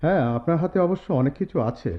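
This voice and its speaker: A man's voice: fairly high pitch, racing, close to an everyday voice. Aloof young man played by an actor, tinny audio. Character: aloof, self-possessed young male student, wounded and dry. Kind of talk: Bengali radio drama acting. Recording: tinny phone-like audio, clear vehicle noise.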